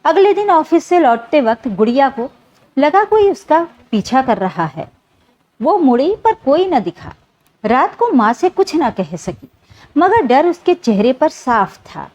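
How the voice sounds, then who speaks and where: A woman's voice: sits high, sing-song, measured pace, a little mellow, muffled recording. A woman reading a scripted dramatic story as narrator, in an emotive storytelling manner.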